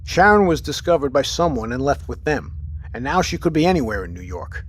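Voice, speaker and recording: An actor playing a steady, pragmatic submarine captain in an audio drama, with a clipped, commanding, matter-of-fact manner. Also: male voice, medium pitch, a little fast, slight background noise, natural voice. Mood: firm, resolved.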